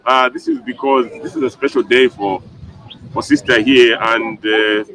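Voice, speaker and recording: A man's voice: medium pitch, normal speed, poor audio. A man interviewing a new sister outdoors for a live stream, talking with many fillers and restarts. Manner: hesitant, filler-heavy, animated. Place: outdoors at a celebration.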